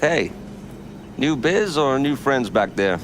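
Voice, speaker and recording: Laid-back, lively-pitched bartender man. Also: takes it slowly, slight background noise. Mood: unworried. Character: easygoing, unflappable male roadside motel bartender. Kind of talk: video game cutscene acting.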